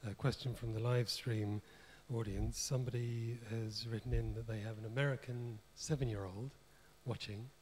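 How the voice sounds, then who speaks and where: Male moderator, mid voice, relaxed and brisk, faint hum in the lecture room. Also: mid-range voice. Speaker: seminar chair. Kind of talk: moderating questions.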